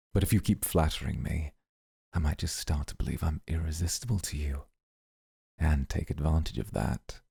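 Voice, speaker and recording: Male actor as suave mafia boss, gravel-rough bass, very breathy and husky, flirty, calculating, teasing, ASMR roleplay. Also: mellow, no echo, clean studio sound, full character voice.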